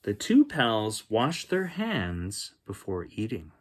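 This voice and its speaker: A man hosting a children's bedtime story reading, expressive, with chatty asides spoken straight to young listeners. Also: on the low side, slow pace, slightly rough. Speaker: children's story reader and host. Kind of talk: bedtime picture book read-aloud with chatty asides.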